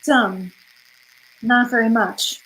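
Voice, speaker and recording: A woman's voice: a little high, steady tone, slow, fairly bright tone, rough recording. A woman playing an alluring, deceitful femme fatale in an old-time radio drama, with a melodramatic, pleading manner. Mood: weary, pleading.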